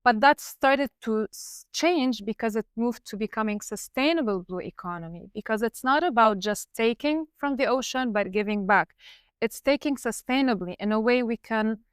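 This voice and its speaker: Woman from Lebanon, 30s, podcast guest; smooth, clear voice, measured, dry close sound. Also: a little high, no room sound. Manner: explanatory, measured, with fillers. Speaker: guest researcher. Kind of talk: podcast interview.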